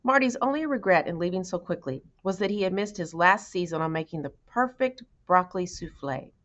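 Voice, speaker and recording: A woman narrates a storybook in a read-aloud style. Her voice sits a little low for a woman, and the recording is slightly dull and lo-fi. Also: expressive, a little fast, fairly bright tone. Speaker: audiobook reader.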